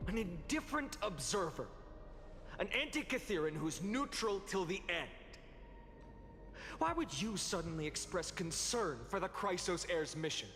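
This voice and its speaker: In a reverberant hall with music behind, a weary, resolute young lord speaks. His delivery is unhurried and earnest, the dramatic speech of a fantasy game hero. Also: high voice, sing-song, fairly bright tone, heavily acted. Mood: accusing. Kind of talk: scripted fantasy game voice acting.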